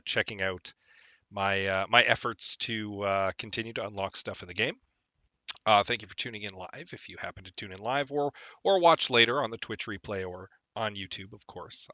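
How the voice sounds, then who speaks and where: A man's voice: on the low side, pushed and bright, dry recording. Chatty phlegmy man streaming a game. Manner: rambling, chatty, lots of ums and uhs. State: phlegmy from a lingering cold. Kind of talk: casual gameplay stream chat.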